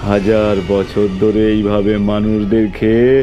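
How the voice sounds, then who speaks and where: A man voicing a cruel, ancient, man-eating skeleton devil in a horror cartoon, taunting and menacing with drawn-out words. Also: lowish voice, completely flat delivery, music in the background, natural voice. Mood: gloating menace. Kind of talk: dramatized horror story for cartoon.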